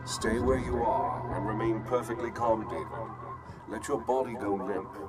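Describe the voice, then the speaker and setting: Man as deep, calm professor mentor. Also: close to an everyday voice. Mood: calm authority. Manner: measured, soothing command. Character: calm, authoritative older male professor, a telepathic mentor. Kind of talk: motion comic voice acting. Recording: loud music behind the voice.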